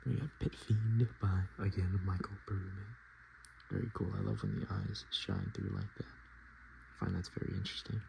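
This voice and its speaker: Hushed, gravelly low-voiced ASMR man. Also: big pitch swings, normal speed, background noise. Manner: hushed, casual murmured asides. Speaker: ASMR video host. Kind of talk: ASMR, softspoken and whispered card show-and-tell.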